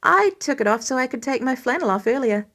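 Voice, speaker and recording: A woman's voice: sits high, lively intonation, fairly quick, a bit bright, exaggerated voice. A woman voicing a cheery, stubborn teenage boy with an eager, informal way of talking, in a story reading. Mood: sulky, defensive. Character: cheery, stubborn sixteen-year-old boy doting on baby sister.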